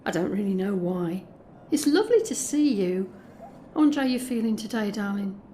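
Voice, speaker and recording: A woman's voice: mid-range voice, expressive, normal speed, dark tone, a little hiss or hum, close to an everyday voice. A woman playing an anxious but determined young escort in an intimate, conversational audio drama monologue. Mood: tender, nervous. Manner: confiding, conversational.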